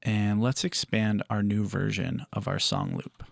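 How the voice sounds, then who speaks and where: A man presenting a step-by-step audio software tutorial in a measured, explanatory way. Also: on the low side, steady tone, gravelly.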